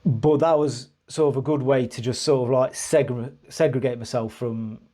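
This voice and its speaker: A man speaking to camera in a loose, rambling way, often stopping and restarting his sentences. His voice sits at a middling pitch with a dark, mellow tone. He sounds earnest and a little frustrated. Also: fairly even intonation, moderate pace. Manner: rambling, hesitant, full of restarts and "sort of, like". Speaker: vlogger. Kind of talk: personal storytelling to camera.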